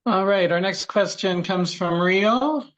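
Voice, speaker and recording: A man's voice: high-pitched, slow, a bit bright. A man moderating a question-and-answer session, calling on participants and relaying their questions.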